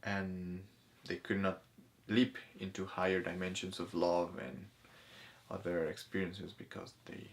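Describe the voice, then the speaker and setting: Man, fairly low pitch, casual commentary, halting delivery, repeated words and restarts, discussion host. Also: flat delivery, creaky. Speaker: host of a spirituality film discussion.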